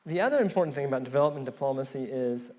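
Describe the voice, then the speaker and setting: A man's voice: medium pitch, lively intonation, very fast, warm tone. A man from Pakistan whose first language is Urdu, speaking on a conference panel with many fillers and restarts.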